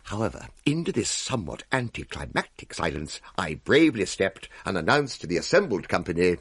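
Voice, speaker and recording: An English actor playing a pompous, self-righteous young Victorian gentleman in a radio drama, speaking with mock-heroic dignity. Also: sits low, big pitch swings, a little fast, husky, exaggerated voice. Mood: solemn narration. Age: young adult. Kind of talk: radio drama narration and dialogue.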